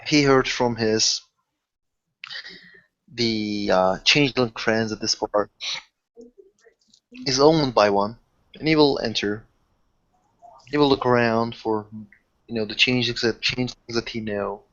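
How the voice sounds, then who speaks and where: A man's voice: medium pitch, measured pace, long pauses, fairly bright tone. A man improvising tabletop game narration in simple step-by-step phrases, with non-native-sounding grammar. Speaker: tabletop roleplaying player. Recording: muffled lo-fi voice recording.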